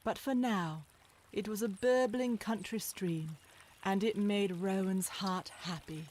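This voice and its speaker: A woman's voice: mid-range voice, slow, a little mellow, a little hiss or hum, natural voice. A woman acting as narrator, reading scripted storybook narration in a children's audio drama. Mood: calm.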